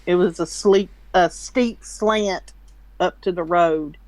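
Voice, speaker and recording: A woman telling a story in a casual voice chat, haltingly, often restarting her sentences. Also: lowish voice, a little mellow, no echo. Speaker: caller. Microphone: computer mic in a voice chat.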